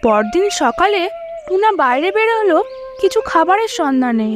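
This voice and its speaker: A woman's voice: extremely high pitch, big pitch swings, moderate pace, music in the background. A woman narrating a scripted children's story as a storyteller.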